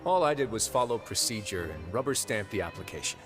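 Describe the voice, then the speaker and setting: Low-voiced, composed duke. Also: male voice, very animated, over music. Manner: measured, courteous, dryly understated. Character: composed, dry-witted male duke who runs a prison. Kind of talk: scripted game dialogue.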